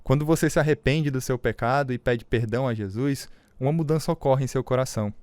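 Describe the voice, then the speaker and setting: An actor playing a firm, patient male Bible mentor with a Brazilian accent, teaching scripture in measured tones in a radio drama. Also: medium pitch, darkish tone, no room sound, close to an everyday voice. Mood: instructive. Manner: measured, preaching.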